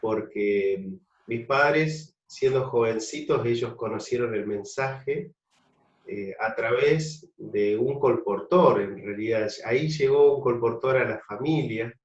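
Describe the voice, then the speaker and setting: A man's voice: mid-range voice, slow pace. An Argentine man speaks as a pastor, telling his life story in a reflective, affectionate way. He sits far from the mic in an echoey room. The sound is dull. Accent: Argentine Spanish. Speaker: pastor guest. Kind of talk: interview testimony. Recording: distant, roomy, dull sound.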